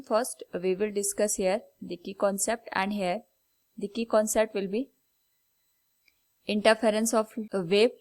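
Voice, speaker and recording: A woman with an Indian accent giving a step-by-step lesson as a physics teacher, instructional and measured when stating things. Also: medium pitch. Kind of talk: step-by-step lesson explanation, switching between Hindi and English.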